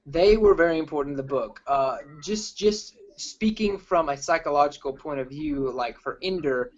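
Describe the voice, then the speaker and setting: A man with a slightly high voice hosts an informal film review chat. He feels his way through sentences in a halting, rambling manner. The recording sounds a little dull and lo-fi. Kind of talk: casual group film discussion.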